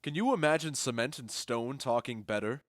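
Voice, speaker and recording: A man's voice: medium pitch, lively intonation, normal speed, no room sound, studio quality, close to an everyday voice. A man playing a wry, mocking nobleman in audio drama dialogue. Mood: amused. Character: wry noble man watching a bad play.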